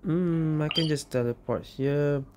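A woman's voice: sits low, measured pace, heavy background noise. A woman giving casual commentary as she plays a video game. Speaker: game streamer. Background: game music.

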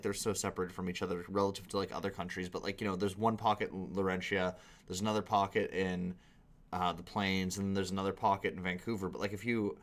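A young man with a Canadian accent giving casual, exasperated, sweary commentary as a reaction video host. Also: low-pitched. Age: young adult. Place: small indoor room at a computer.

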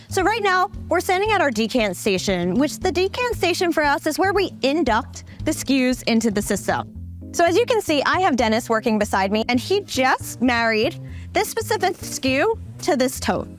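Young woman, product presenter, polished upbeat delivery, fairly high pitch, warehouse floor, lapel mic. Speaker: company presenter. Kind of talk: scripted product demo tour.

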